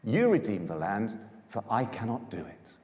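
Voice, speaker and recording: A man's voice: lowish voice, huge pitch swings, moderate pace, a little mellow. A man as a cautious, self-protecting kinsman in an echoey hall. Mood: refusing, firm. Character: cautious male relative, a property redeemer guarding his estate. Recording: echoey hall with long reverb.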